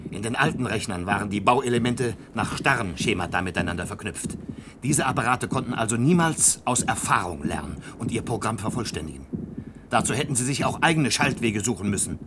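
A man's voice: fairly low pitch, expressive, brisk, lightly acted. Man playing a proud, lecturing scientist, very noisy recording. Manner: lecturing, pedantic, impassioned. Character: proud, defiant male scientist-inventor of a thinking machine. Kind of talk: German science-fiction radio play acting. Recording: very noisy old radio play recording.

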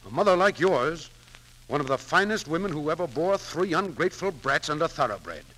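A man playing a gruff, blustering but principled businessman in 1940s radio drama, speaking bluntly and emphatically. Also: fairly high pitch, lively intonation, brisk, bright, forceful tone, rough recording. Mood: stern, sarcastic. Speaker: actor. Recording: 1940s radio broadcast recording.

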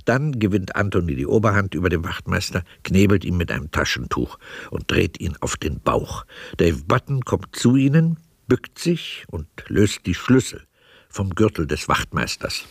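Low, dry-recorded male radio narrator. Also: very animated, moderate pace, gravelly, slightly rough, no room sound. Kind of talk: scripted radio drama narration.

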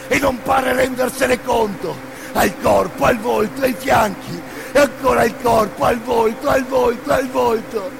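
A man performing an intense theatrical monologue, narrating in emphatic short phrases with a hoarse, gravelly voice. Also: extremely high pitch, measured pace, hardly any pauses, background noise. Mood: shouted. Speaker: stage actor narrating a monologue. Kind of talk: dramatic second-person theatrical narration.

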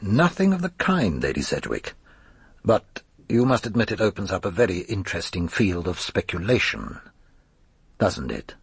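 Gravelly police inspector. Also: male voice, sits low, very animated, moderate pace, full character voice. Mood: probing. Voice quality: gravelly, husky. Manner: probing, dryly insinuating questions. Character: shrewd, world-weary male police chief inspector, middle-aged. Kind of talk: radio drama acting.

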